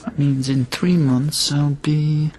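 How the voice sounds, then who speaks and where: An actor playing a wistful, pleading quarantined astronaut who is centuries old and immortal, in science fiction radio drama style. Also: male voice, fairly high pitch, little pitch movement, takes it slowly, warm tone. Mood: wry, resigned. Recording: clearly noisy background ambience.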